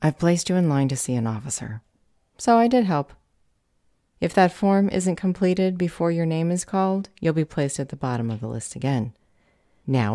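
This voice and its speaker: A woman playing a curt, officious, unhelpful clerk at a service window in an audio roleplay, deadpan and dismissive. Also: sits low, no room sound, clean studio sound.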